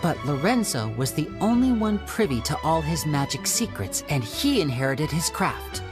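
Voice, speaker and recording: A charming young magician. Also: male voice, fairly high pitch, very animated, moderate pace, over music. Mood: explaining. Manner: polite, persuasive, light. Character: young male magician, charming, smooth and reassuring. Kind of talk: video game voice acting.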